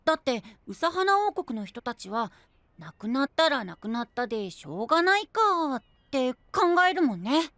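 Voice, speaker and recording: A man's voice: very high-pitched, big pitch swings, normal speed, a bit bright, no room sound, heavily acted. A man voicing a childlike, cute and whiny but willing small boy in an anime audio drama. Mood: curious.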